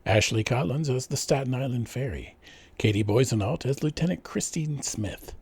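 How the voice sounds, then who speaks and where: A man's voice: medium pitch, darkish tone, husky. A man narrating and announcing a scripted radio serial.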